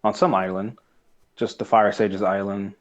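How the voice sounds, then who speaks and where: A man's voice: on the low side, little pitch movement, moderate pace. A man co-hosting a podcast, giving his review casually and hesitantly as he thinks aloud, on muffled audio. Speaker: podcast host.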